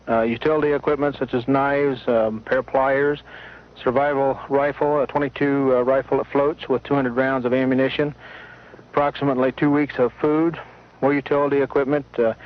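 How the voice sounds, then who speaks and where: A man explaining flight safety as an expert, speaking unscripted in a measured, matter-of-fact way. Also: medium pitch. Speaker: mountain flying safety expert. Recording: muffled 1970s film sound with music.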